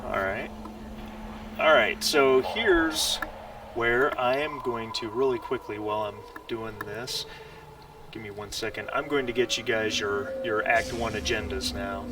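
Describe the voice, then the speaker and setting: A man's voice: fairly low pitch, fairly even intonation, measured pace. A man acting as game master, talking casually and hesitantly as he explains the rules of a tabletop game over a voice call. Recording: distant mic with faint noise.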